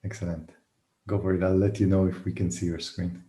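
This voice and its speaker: A man hosting an online event, presenting casually with halting, hesitant phrasing. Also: sits low, level tone, mellow. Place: indoor room, online video call. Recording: roomy, echoey sound.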